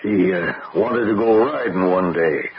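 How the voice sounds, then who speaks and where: A man playing a paternal middle-aged rancher husband in an old-time radio drama, courteous and halting. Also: low-pitched, crowd noise. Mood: pained, hesitant. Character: courtly older rancher husband, guarded and solicitous. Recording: muffled, noisy old radio broadcast.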